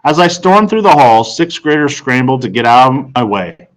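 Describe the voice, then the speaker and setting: A man's voice: medium pitch, sing-song, normal speed, poor audio. A man reading scripted children's fiction aloud as an audiobook narrator.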